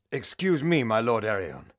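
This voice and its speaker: An actor playing a grave, honourable veteran warrior commander in a fantasy game, with a formal manner. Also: male voice, medium pitch, gravelly, a bit bright, breathy edge, dry recording, studio quality, heavily acted. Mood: deferential. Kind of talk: fantasy game cutscene acting.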